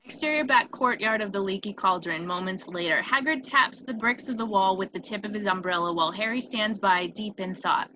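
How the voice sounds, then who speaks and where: A woman's voice: mid-range voice, brisk, hardly any pauses, pushed and bright. A woman reading scripted screenplay stage directions as narrator, on a dull, noisy home recording.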